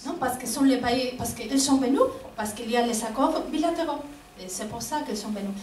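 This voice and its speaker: A woman's voice: on the high side, brisk, darkish tone. A woman from Spain, answering audience questions as a guest professor in an auditorium, hesitant with many fillers and a frequent "non?" tag. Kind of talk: lecture Q&A answers. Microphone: distant. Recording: echoey hall, distant mic, faint noise.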